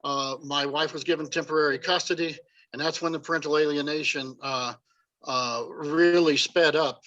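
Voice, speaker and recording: A man telling his personal story as a talk-show guest, halting with frequent fillers. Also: a little high, steady tone, pushed and bright, highs cut off. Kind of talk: personal testimony.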